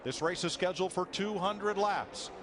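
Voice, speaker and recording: A man's voice: sits high, normal speed. A man calling a live motor race as lead commentator. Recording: loud engine noise behind. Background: roaring race cars at a speedway.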